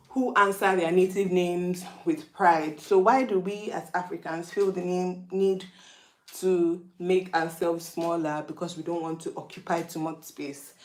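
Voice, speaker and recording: Roomy-sounding Nigerian woman musing aloud. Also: lowish voice. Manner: reflective, asks rhetorical questions. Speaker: vlogger. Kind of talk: casual opinion monologue.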